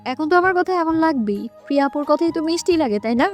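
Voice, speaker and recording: A woman's voice: sits high, lively intonation, fast talker. A woman playing a sweet but pouty and feisty young woman in a romantic audio story. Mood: pouty, annoyed. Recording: soft music behind the voice.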